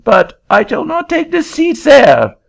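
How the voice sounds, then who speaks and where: A man voicing an excitable middle-aged Frenchman with a French accent and sputtering broken English, in an audiobook reading. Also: extremely high pitch, measured pace, creaky, pitched above the performer's own voice, heavily acted. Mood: indignant. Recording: dull lo-fi recording.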